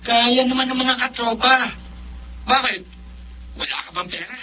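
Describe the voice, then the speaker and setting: A man's voice: extremely high pitch, measured pace, bright, forceful tone, exaggerated voice. A man with a Filipino accent playing a breezy drinking buddy on the phone in a radio drama. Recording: muffled, humming audio with faint noise.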